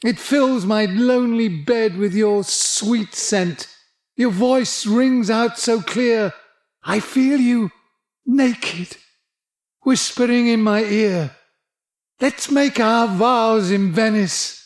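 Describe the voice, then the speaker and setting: A man's voice: sits high, slow. An actor playing an earnest, lovesick young man of twenty, ardently reading out a poetic love letter in an audio drama. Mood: yearning.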